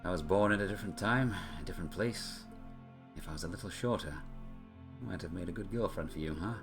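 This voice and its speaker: Man playing a low-voiced, weary woman leader. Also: fast, over music. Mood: wistful. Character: weary, earnest female city leader burdened by war. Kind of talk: acting out video game dialogue.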